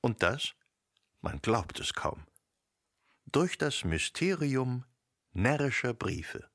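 A man narrating a radio drama in a literary, dramatic style, with deliberate phrasing and emphatic pauses. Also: mid-range voice, very animated, fairly bright tone, dry recording, clean studio sound.

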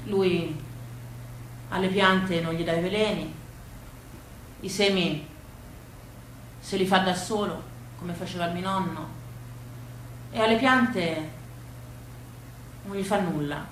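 A woman's voice: on the low side, slow, long pauses. A woman telling stories as a theatrical monologue from the stage. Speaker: stage storyteller. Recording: echoey room with faint background noise.

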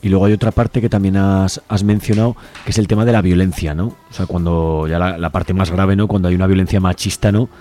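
A man with a slightly low, very mellow and dark voice acts as an interviewer. He speaks in an informal, conversational way, often checking in with short question tags. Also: fairly even intonation, brisk, hardly any pauses. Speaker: radio host interviewer.

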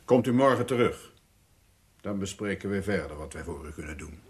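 An actor playing a courteous, authoritative Russian tsar in a radio drama, speaking in a formal, measured manner. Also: male voice, deep voice, animated, background noise. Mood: grateful, formal.

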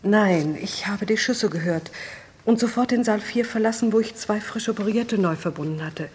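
An actress playing a careful, deferential night nurse in a vintage German radio crime drama. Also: female voice, medium pitch, moderate pace, darkish tone, slight background noise, close to an everyday voice. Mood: factual. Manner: careful, subdued, precise.

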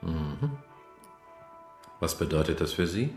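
A calm therapist in a radio play. His voice is very deep, and he keeps to brief, neutral prompts. The room sounds echoey. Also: takes it slowly, faint music behind, full character voice. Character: calm therapist questioning the maid.

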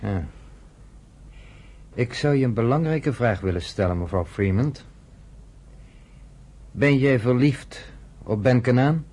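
A husky-voiced man in a radio play. He sits in the middle register and talks in a wry, fatherly way, needling with sardonic teasing. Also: medium pitch, animated, takes it slowly, pauses often, darkish tone. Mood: probing, mocking. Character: wry, teasing American man, friend of a nurse. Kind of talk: Dutch radio play acting.

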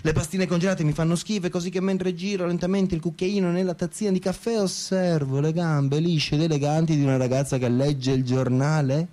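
An actor playing a cynical, sneering well-off banker in a profane, scornful radio drama monologue. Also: male voice, on the high side, steady tone, brisk, hardly any pauses, dark tone, natural voice. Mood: disgusted, cynical. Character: cynical, well-off divorced banker, male, sneering and self-justifying. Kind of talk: theatrical first-person monologue, radio drama.

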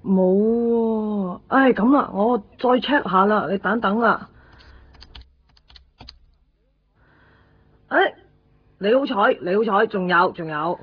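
A woman's voice: mid-range voice, measured pace, slightly rough. An actress playing a harried but obliging female ticket clerk in a Hong Kong Cantonese radio drama. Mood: relenting. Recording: thin phone-like 1990s radio drama sound.

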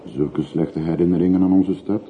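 An actor playing a talkative, obliging older railway porter in a radio play, chatty and deferential. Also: male voice, low-pitched, steady tone, moderate pace, close to an everyday voice. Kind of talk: radio drama acting. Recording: muffled narrowband radio recording with hiss.

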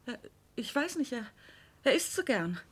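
A woman's voice: fairly high pitch, very animated, moderate pace, slightly rough. A woman playing a long-suffering but loyal wife in a radio drama, earnest and plaintive. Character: devoted, long-suffering wife defending her bullying husband. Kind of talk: German radio drama acting.

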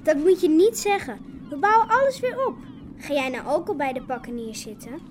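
A woman's voice: sits high, lively intonation, brisk, slight background noise. An actress playing a proud, hopeful young village girl in a radio drama, direct and spirited in manner. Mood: eager, firm. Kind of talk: Dutch radio drama acting.

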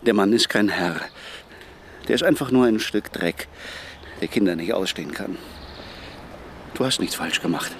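An actor playing a worried father who pleads with his son and quickly flares up. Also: fairly low pitch, expressive, a little hiss or hum. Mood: urgent. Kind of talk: radio play acting.

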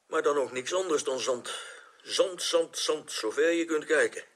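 Eager astronaut for radio drama: talkative, expressive, lightish pitch, very lively delivery. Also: male voice, measured pace. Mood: marvelling.

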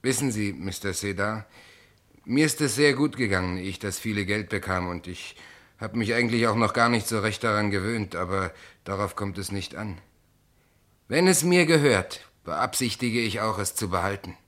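A man's voice: on the low side, normal speed, a bit bright, natural voice. Actor as earnest, courteous young man. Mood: quietly resolute. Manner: courteous, plain-spoken. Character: polite, earnest small-town heir, modest but stubborn. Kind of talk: German radio play acting.

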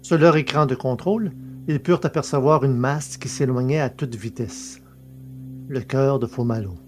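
A man's voice: mid-range voice, moderate pace, a little mellow, faint music behind. A man narrating a scripted science-fiction adventure story as its dramatic narrator.

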